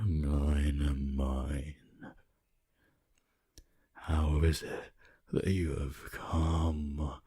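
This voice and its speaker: Super deep breathy vampire, possessive n creepy seductive, slow asmr whispering. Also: male voice, darkish tone, heavily acted. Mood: possessive, welcoming. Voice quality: breathy, husky, often whispering. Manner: slow, theatrical, archaic phrasing.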